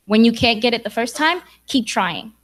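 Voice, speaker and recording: A woman's voice: fairly high pitch, lively intonation, moderate pace. A young woman from Sudan giving an earnest, encouraging speech to graduates in a church hall. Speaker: graduation speaker.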